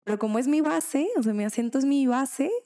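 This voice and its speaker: Young female co-host, soft dark tone, casual. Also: high-pitched, brisk. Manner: casual, slangy, hesitant. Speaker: podcast co-host. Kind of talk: casual chat.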